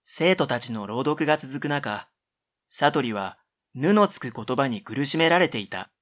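A man's voice: mid-range voice, lively intonation, measured pace, fairly bright tone. A man voicing a dry-witted, exasperated middle-school boy who narrates and makes matter-of-fact retorts in a comedic radio drama. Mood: dry narration.

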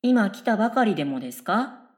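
A woman's voice: mid-range voice, sing-song, a little fast, natural voice. A woman playing an airy, chatty middle school girl in a radio drama, speaking politely and with blithe confidence. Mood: blithely chatty. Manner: polite speech, blithely confident non sequiturs.

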